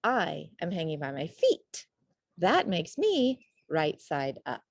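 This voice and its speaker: A woman plays a brash storybook bat who likes to correct. The delivery is loud, inquisitive and matter-of-fact, with swooping pitch and a dry sound. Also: huge pitch swings, slow, creaky, no echo, heavily acted. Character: brash, inquisitive stranger bat, a little pedantic. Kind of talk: children's storybook read-aloud.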